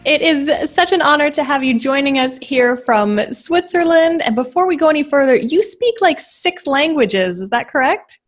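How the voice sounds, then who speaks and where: Upbeat woman podcast host, higher voice. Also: animated, moderate pace, hardly any pauses, faint music behind. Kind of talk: scripted intro and interview.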